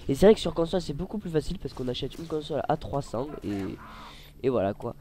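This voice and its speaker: Gaming YouTuber with a head cold, higher mellow voice, hesitant and rambling over his gameplay, some faint noise behind. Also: very animated, fast talker. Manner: rambling, hesitant, many fillers. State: has a cold. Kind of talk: casual gameplay commentary. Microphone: desk mic.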